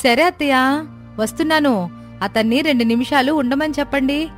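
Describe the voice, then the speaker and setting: A woman's voice: high voice, moderate pace, faint music behind, natural voice. A woman playing a dutiful, eager young village daughter-in-law in a cartoon moral story, polite and youthful. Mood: eager, obliging.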